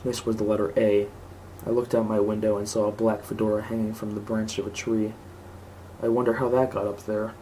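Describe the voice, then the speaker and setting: A man's voice: fairly low pitch, flat delivery, brisk, dark tone. A man reading a scripted horror story aloud as narrator. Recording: clearly noisy home recording.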